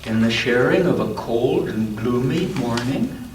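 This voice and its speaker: A man reads a storybook to children in an unhurried way, with a very animated pitch and frequent questions about the pictures. He is recorded from far off in an echoey church space, with some background noise. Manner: pauses to ask listeners questions about the pictures. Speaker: storybook reader at a church story time for all ages. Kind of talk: reading a picture book aloud to children, with asides. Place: roomy church interior. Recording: echoey room, distant mic, background noise.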